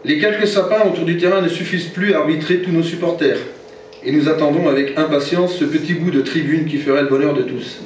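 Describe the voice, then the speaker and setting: French club president, formal read-out speech, muffled, distant recording. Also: male voice, a little high, a little fast, a little hiss or hum. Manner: formal, reads from notes, stumbles and corrects himself. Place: reception hall. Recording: very echoey hall from far off, dull sound.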